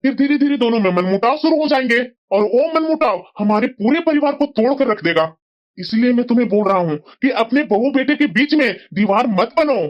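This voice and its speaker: A woman's voice: on the high side, expressive, a little fast, dull audio, lightly acted. A woman voicing a sensible middle-aged husband in a family moral-story cartoon, scolding and lecturing reproachfully. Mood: admonishing.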